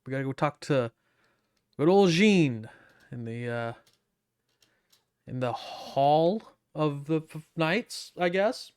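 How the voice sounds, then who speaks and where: A man's voice: fairly high pitch, lively intonation, slow, pauses often, clean tone. A man giving casual commentary as he streams a game, with a wry, sardonic manner.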